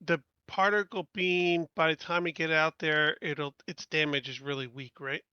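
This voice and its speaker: A man's voice: a little high, steady tone, normal speed, bright, forceful tone, smooth, no room sound, dull audio. A man playing a tabletop game, chatting casually about his moves as a player.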